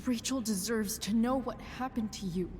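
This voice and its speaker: A woman playing a rebellious, grieving teenage girl in a game, speaking haltingly and earnestly, often hushed. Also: fairly high pitch, long reverb tail, a little hiss or hum, natural voice. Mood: indignant. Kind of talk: naturalistic dramatic game acting.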